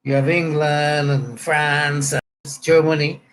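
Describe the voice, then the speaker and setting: Man, middle-aged, Trinidadian accent, slightly high pitch, casual rambling chat, joking manner, light background noise. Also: level tone, slow, fairly bright tone. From Trinidad and Tobago. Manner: rambling, joking, spells out words to clarify. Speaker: pastor. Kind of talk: casual chat to online viewers. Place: a room at home.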